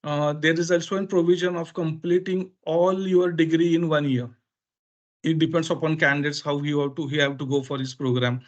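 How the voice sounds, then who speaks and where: Indian guy doing a webinar talk, native marathi speaker, slightly high voice, lots of hesitant pauses and fillers. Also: steady tone, lo-fi sound. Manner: halting, hesitant phrasing with frequent filler pauses. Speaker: education consultant presenting a webinar. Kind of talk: informal explanatory webinar presentation.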